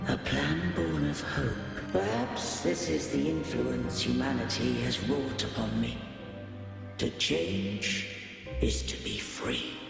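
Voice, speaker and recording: An ancient weary god thing from a fantasy game, super gravelly n slow, stately old-timey speech, huge echo. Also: male voice, mid-range voice, full character voice. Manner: archaic, stately, measured speech. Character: ancient solemn godlike being, weary, regretful. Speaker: game character voice. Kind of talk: solemn scripted fantasy game dialogue. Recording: cavernous echoing reverb with music behind.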